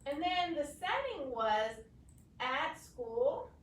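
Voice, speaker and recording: High, animated woman teacher reading aloud. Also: slow pace, a little hiss or hum. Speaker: schoolteacher. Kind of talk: expressive storybook read-aloud lesson.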